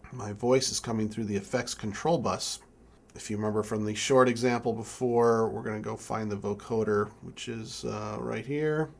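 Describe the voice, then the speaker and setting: A young adult man giving an informal hands-on tutorial, speaking haltingly as he thinks aloud while working. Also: mid-range voice. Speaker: music keyboard tutorial presenter. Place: indoor room with draped backdrop.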